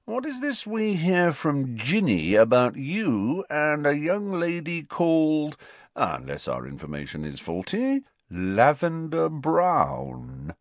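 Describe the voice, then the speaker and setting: A man's voice: medium pitch, wildly swooping pitch, unhurried, fairly bright tone, no echo, pitched above the performer's own voice, exaggerated voice. A man playing a mocking, mischievous older-teen twin brother in a storybook, teasing in a mock-grand drawl.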